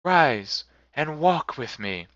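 A man voicing a gentle, otherworldly spirit who speaks in a solemn, measured way. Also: on the high side, lively intonation, no echo, voice pushed up. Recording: dull lo-fi dry recording.

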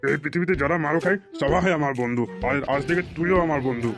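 Brief: male actor as loutish drunk tough guy, slangy bluster, highish male voice, rattled off at great speed. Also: quick pace, music in the background, lightly acted. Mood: chummy. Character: brash, foul-mouthed drunkard tough guy, quick to befriend. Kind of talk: comedy cartoon dialogue.

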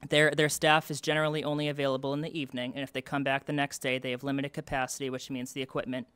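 A man's voice: mid-range voice, flat delivery, fast talker, fairly bright tone, clean tone, no echo. A young man presenting to a town board at a public meeting, earnest and explanatory, with many fillers and restarts. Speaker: event committee representative. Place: town hall meeting room.

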